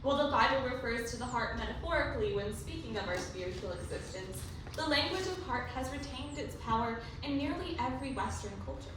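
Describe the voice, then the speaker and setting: High-voiced woman reciting in echoey hall. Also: animated, fairly quick. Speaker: readers theatre performer. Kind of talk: scripted stage recitation. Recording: echoey room recorded from far off, faint noise.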